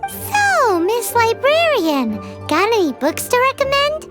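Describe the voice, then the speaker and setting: A woman's voice: very high voice, sing-song, slow, exaggerated voice. A woman voicing a tiny, cheeky, childlike fairy sidekick in a video game, exclamatory and chirpy. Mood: chirpy, eager. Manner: speaks of self in third person, exclamatory. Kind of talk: English video game voice acting. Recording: music playing behind the voice.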